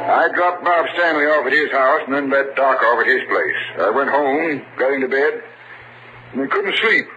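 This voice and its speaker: A man playing a steady middle-aged American small-town sheriff, measured and serious, in 1940s radio drama style. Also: medium pitch, dull audio, lightly acted. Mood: brooding. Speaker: lead actor and narrator. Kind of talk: 1940s radio acting and first-person narration. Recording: noisy old radio drama recording.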